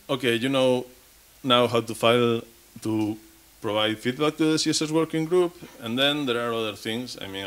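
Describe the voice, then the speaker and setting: Man, 30s, lowish voice, speaking from a podium at a conference, restarting and repeating words as he goes. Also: a bit bright. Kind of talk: technical conference talk. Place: conference stage.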